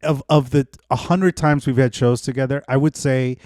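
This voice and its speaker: A male comedian hosting his podcast, ribbing his guest with a dry, teasing manner. His voice is mid-pitched and ordinary for a man. It is recorded close with no room sound. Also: steady tone, normal speed, a little mellow, dry recording. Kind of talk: casual podcast banter.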